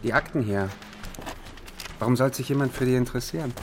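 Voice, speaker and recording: An actor playing a sceptical, grumbling small-time crook in a radio drama. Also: male voice, medium pitch, fairly quick, noisy background, lightly acted. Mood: doubtful, grumbling.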